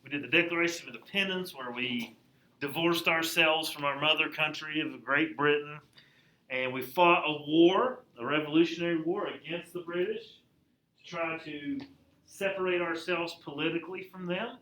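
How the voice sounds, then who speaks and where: A man teaching a high school history class in a casual lecture style, repeating key points and checking in with the class. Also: moderate pace, bright, forceful tone, reverberant, low-quality recording. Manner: conversational, repeats key points, asks class if it makes sense.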